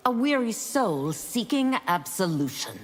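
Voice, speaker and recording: Penitent female demon for a fighting game: solemn, righteous, lively inflection, hall reverb. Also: mid-range voice, unhurried. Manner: grave, righteous. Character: solemn repentant female demon-turned-saint, weary soul. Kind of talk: video game fight-intro dialogue. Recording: reverberant hall, faint noise.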